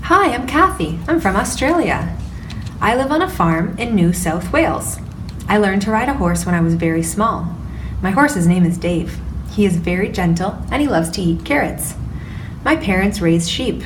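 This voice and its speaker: A woman's voice: mid-range voice, expressive, normal speed, lightly acted. A woman voicing a chirpy young farm girl who loves horses, in a storybook reading, recorded from a distance with background noise.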